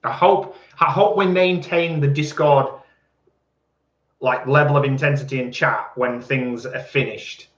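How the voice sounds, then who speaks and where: A man's voice: mid-range voice, unhurried, a bit bright. Man, forties, teasing banter, echoey room, slightly dull sound. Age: 40s. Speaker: co-host of a live stream. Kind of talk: casual live chat reading viewer comments.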